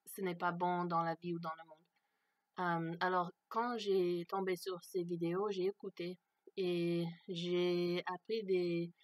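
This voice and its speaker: A woman's voice: lowish voice, level tone. A woman for whom French is not her first language, speaking unscripted to camera, hesitant and searching for words with many fillers. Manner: slow, thoughtful. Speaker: vlogger. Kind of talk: unscripted personal talk to camera.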